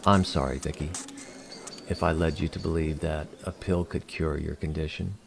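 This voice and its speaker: A courteous alien leader played by a man speaks in a very low voice with diplomatic polish. His phrasing is formal, measured and persuasive, in audio drama style, over light background noise. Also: steady tone, gravelly. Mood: polite, reassuring.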